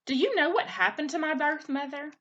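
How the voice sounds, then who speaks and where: A woman's voice: sits high, fairly quick, fairly bright tone, highs cut off, pitched above the performer's own voice. A woman voicing a young male gosling, childlike and emotional, questioning and defiant, in a storybook reading. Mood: uneasy, curious. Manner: childlike, emotional, pleading and protesting. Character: young male gosling, moody, questioning, defiant, attached to his robot mother.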